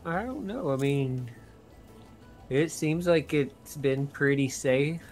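A man playing an agreeable, laconic young dad in a low-key video game family roleplay. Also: lively intonation, slow, darkish tone, faint music behind. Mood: easygoing.

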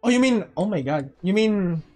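A woman livestreamer chatting casually over a game in an excitable, joking way, often repeating phrases. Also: mid-range voice, moderate pace. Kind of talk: casual game stream chat. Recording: game music behind.

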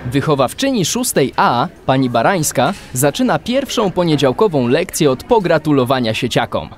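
A man's voice: fairly high pitch, sing-song, moderate pace, slight background noise. A man narrating a children's adventure radio play in a scripted storytelling style. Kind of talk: scripted audio drama narration.

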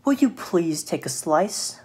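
A woman's voice: fairly low pitch, lively intonation, slow pace, a little mellow, breathy edge, exaggerated voice. Woman voicing a sly, honeyed male storybook spider. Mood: flattering. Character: sly flattering male spider, coaxing and cunning. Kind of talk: children's storybook read aloud.

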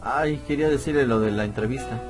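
A man's voice: medium pitch, fast talker, over music. A man giving casual let's play commentary, musing aloud in a chatty, self-deprecating way. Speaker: gameplay streamer.

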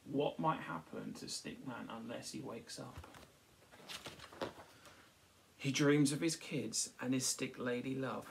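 A man in his thirties or forties reading a picture book aloud to children, pausing to explain it simply. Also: mid-range voice, creaky, slight background noise. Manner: frequently checks with "okay", explanatory. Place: small furnished room at home. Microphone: device camera at about arm's length.

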